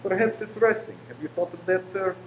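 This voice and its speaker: A man's voice: high-pitched, brisk. A man acting in a radio play as a polite, reassuring shop professor who is secretly a bomb-making conspirator. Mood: obliging. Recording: roomy echo with faint noise.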